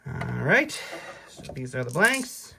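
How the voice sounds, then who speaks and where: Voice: medium pitch, very animated, takes it slowly, vocal fry, heavy background noise. A tabletop wargame player casually narrating unit moves and dice rolls. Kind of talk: casual battle report play-by-play.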